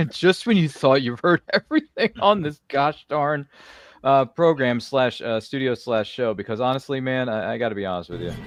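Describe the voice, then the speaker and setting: A man's voice: mid-range voice, animated, normal speed. A man hosting a casual roundtable chat in a jokey, exclamatory, folksy way. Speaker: host.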